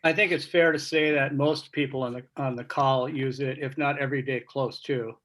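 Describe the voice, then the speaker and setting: Mid-pitched man moderating a chat. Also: steady tone, normal speed, fairly bright tone. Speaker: meeting host, interviewer. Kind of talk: casual moderated conversation. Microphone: video call microphone.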